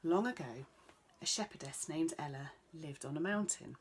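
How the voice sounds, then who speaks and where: A woman's voice: low-pitched, lively intonation, moderate pace, a little hiss or hum. A woman narrating a children's bedtime story as a storyteller.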